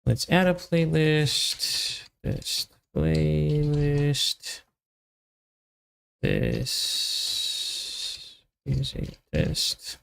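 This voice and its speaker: Male developer streamer, casual think-aloud delivery, very slow with long pauses, heavily creaky mid-pitched voice. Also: huge pitch swings, a bit bright. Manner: halting, muttered fragments with long pauses. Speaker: software developer live streamer. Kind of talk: casual live coding commentary, thinking aloud.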